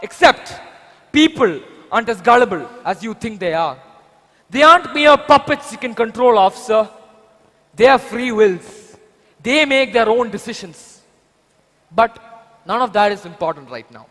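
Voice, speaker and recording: A man plays a young vigilante bent on justice, with an Indian accent. His voice is strikingly high and rings through a reverberant hall. He delivers each line with deliberate, theatrical weight, as in a stage drama. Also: expressive, a bit bright, heavily acted. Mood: reproachful. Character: vengeful young male vigilante seeking justice for his murdered father. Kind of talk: amateur stage drama dialogue. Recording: echoey hall, long reverb.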